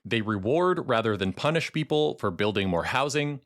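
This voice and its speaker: A man hosting a policy podcast, reading a measured, earnest introduction and then interviewing a guest. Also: medium pitch, lively intonation, fairly bright tone. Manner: measured, clear and earnest delivery. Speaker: podcast host.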